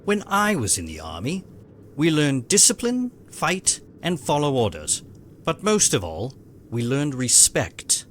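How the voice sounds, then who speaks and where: Guy doing a proper fretful butler for an audio drama, highish voice swinging all over, some noise behind. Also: huge pitch swings, a little slow, a bit bright, exaggerated voice. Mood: stern. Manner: formal, proper servant's way of talking. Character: protective, fretful, dutiful older butler and ex-army guardian. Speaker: voice actor.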